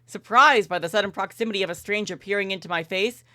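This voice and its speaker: A playful, slangy, expressive woman livestreaming, moving between casual chat and narrating a visual novel. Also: mid-range voice, quick pace, dry recording.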